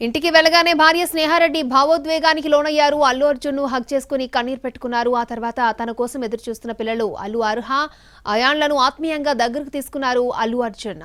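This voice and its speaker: A woman's voice: sits high, fairly bright tone. A woman narrating a news report in a scripted voice-over style.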